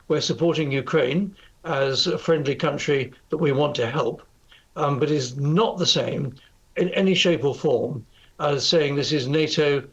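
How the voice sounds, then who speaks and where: An older man with a British accent giving political commentary as an interview guest, formal, measured and hesitant. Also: a little high. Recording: slightly dull, lo-fi broadcast audio.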